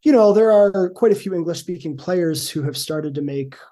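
A man's voice: sits high, moderate pace, warm tone. A middle-aged man, a professor as podcast guest, answering thoughtfully with frequent fillers. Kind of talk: conversational interview answers.

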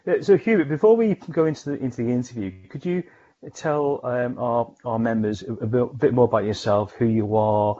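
A man's voice: medium pitch, fairly quick, dark tone, highs cut off. A man hosting a professional interview podcast, polite and hesitant, often repeating words as he frames questions. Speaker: podcast host and chief scientist.

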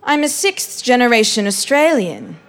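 A woman's voice: fairly high pitch, big pitch swings, moderate pace, pitched above the performer's own voice. A woman voicing a middle-aged female government worker in a story reading, neutral, blank and matter-of-fact. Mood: taut, matter-of-fact.

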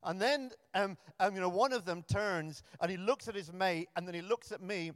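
A man in his thirties, raised in Africa, preaching in a casual, self-deprecating way and often restarting his sentences. His voice sits a little high for a man. The church around him leaves a long, ringing reverb. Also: normal speed, studio quality. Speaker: preacher, pioneer minister. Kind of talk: sermon with storytelling.

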